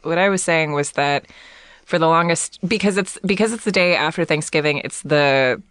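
A woman in her thirties co-hosting a casual chat, talking in quick bursts with frequent restarts and laughter. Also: deep voice, fairly bright tone, smooth, no room sound, studio quality. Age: 30s. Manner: chatty, laughs often, many restarts. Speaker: podcast co-host.

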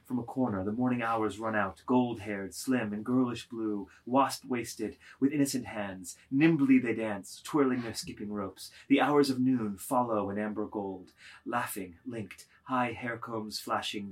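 A man narrating a literary work dramatically, deliberate and declamatory, phrase by phrase. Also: lowish voice, steady tone.

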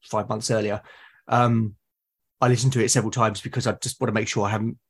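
A man's voice: lowish voice, level tone, fast, breathy edge. A British man speaking as a podcast guest, explaining things earnestly in casual conversation. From Britain.

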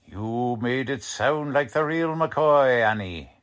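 A man playing a gruff, blunt, streetwise police lieutenant in a 1940s radio crime drama, talking in tough-cop slang. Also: mid-range voice, expressive, takes it slowly, a bit bright. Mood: amused.

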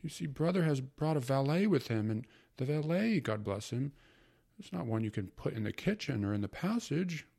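A man's voice: mid-range voice, big pitch swings, moderate pace, creaky, warm tone, pitched above the performer's own voice. A man voicing a fretful middle-aged mother who fusses and sighs over household troubles. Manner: fussing, sighing, emphatic.

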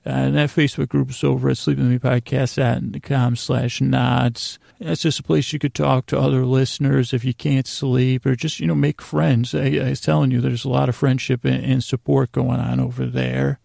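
Gravelly, meandering man lulling listeners to sleep. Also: mid-range voice, little pitch movement, mellow, dry recording, clean studio sound. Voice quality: gravelly, creaky. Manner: meandering, halting, self-deprecating, trails off mid-sentence. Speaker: podcast host. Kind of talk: rambling bedtime monologue meant to lull listeners to sleep.

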